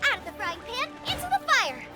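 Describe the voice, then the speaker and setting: A woman voicing a small, excitable floating companion in a video game, bubbly and calling herself by name. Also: very high voice, a little fast, over music, heavily acted. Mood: perky.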